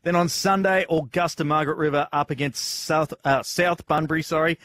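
A man's voice: a little high, steady tone, fairly quick, a bit bright, a little hiss or hum. An Australian man in his thirties presenting a music radio show, speaking close on a studio mic. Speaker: radio host. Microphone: close studio broadcast mic on boom arm.

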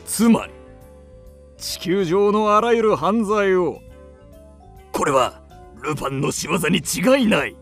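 A man acting a suave, boastful gentleman thief in a radio drama, theatrical and grandiose. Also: sits high, lively intonation, slow pace, vocal fry, long pauses, soft background music, full character voice. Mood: proud, musing.

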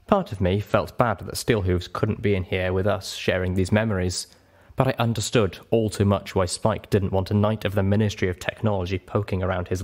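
A man narrating a story as an audiobook reader, in an expressive scripted style. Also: low-pitched.

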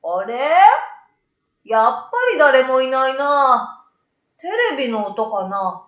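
A woman's voice: high-pitched, takes it slowly, fairly bright tone, reverberant. A woman voicing a young schoolboy in a storybook reading, childlike and exclamatory, self-assured yet easily startled. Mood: puzzled.

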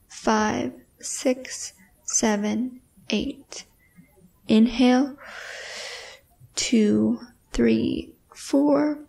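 Slow, breathy woman guiding meditation. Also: a little high, darkish tone, distant mic, poor audio. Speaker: meditation guide. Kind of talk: guided meditation.

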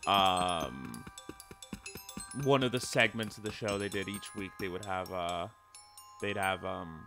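A man's voice: sits low, slow pace, creaky. Rambling, chuckling male game streamer. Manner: rambling, chuckling, swears freely. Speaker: gameplay streamer. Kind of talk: casual game commentary. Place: small room indoors. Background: loud game music.

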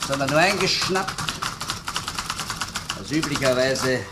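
Actor as a probing police investigator, noisy old recording. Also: male voice, mid-range voice, unhurried, natural voice. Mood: measured, dictating. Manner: probing, with questioning hums and tag words. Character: male police investigator, probing, courteous, dryly persistent. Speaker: actor in a crime radio play. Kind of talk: German radio crime drama acting, interrogation and dictation.

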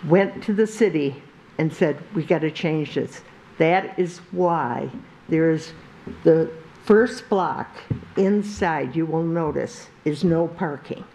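An older woman with a big, carrying voice, speaking slowly and haltingly at a podium microphone during public comment in a council chamber. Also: lowish voice, mellow, a little hiss or hum, low-quality recording. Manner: slow, halting, with many pauses and restarts. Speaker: resident giving public comment. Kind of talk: public comment at a zoning meeting.

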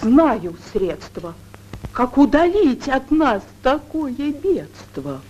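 An actress playing a shrewd, self-satisfied village priest's wife in a 1940s cartoon, with drawn-out sing-song verse on an old film soundtrack. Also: female voice, high voice, very animated, a little mellow, faint music behind, full character voice. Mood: scheming, smug. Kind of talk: 1940s Soviet cartoon acting, rhymed fairy-tale verse.

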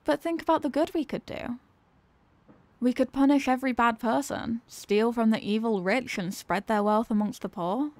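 A woman's voice: a little high, creaky, darkish tone, no echo. A woman voicing a dominant, mocking female villain in an ASMR roleplay, with a condescending, teasing, theatrical manner. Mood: idealistic, persuasive.

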